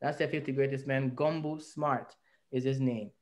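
A man's voice: mid-range voice, steady tone, normal speed. A man with a Jamaican accent telling his story at length as an interview guest, earnest and reflective, on a video call from a room at home. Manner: earnest, reflective, speaks at length with rising emphasis. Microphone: video call webcam.

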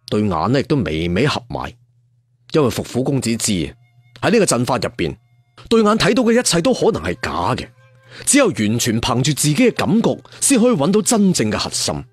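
A man's voice: medium pitch, no echo. A man with a Cantonese accent narrating a martial arts story dramatically, with conversational asides. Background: faint music.

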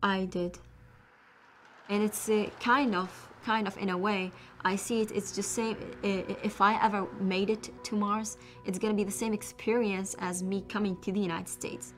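Woman, flat candid speech. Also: mid-range voice, moderate pace, a little hiss or hum. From Iraq. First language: Arabic. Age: 20s. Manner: plain, hesitant, candid. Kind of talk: documentary interview.

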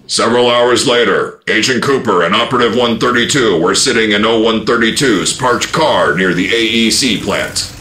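A man's voice: lowish voice, normal speed, hardly any pauses. A man narrating and announcing a radio drama in a portentous, theatrical 1950s style. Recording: roomy echo under loud music.

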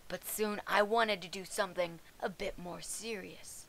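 A woman's voice: on the low side, fairly even intonation, unhurried, vocal fry, fairly bright tone, low-quality recording. A woman voicing a brash, crude female pro wrestler, who tells her story with wry, irreverent asides. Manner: drawling, sarcastic asides. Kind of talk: scripted web-show monologue.

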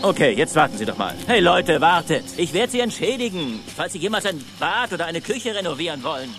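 A man's voice: fairly high pitch, sing-song, a little fast, a bit bright, faint music behind. A man playing an anxious, evasive father looking for his lost son in a German audio drama, earnest and flustered.

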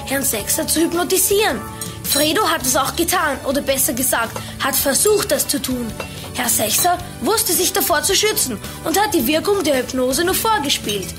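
A woman's voice: high-pitched, expressive, moderate pace, music in the background. A woman playing an earnest child detective in an audio drama, explaining things in a matter-of-fact way.

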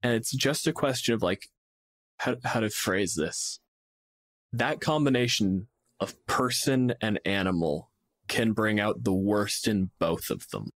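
A man's voice: lowish voice, animated, measured pace. A man in a casual tabletop gaming discussion as co-host, reasoning aloud and acting out short examples. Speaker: podcast co-host and game master.

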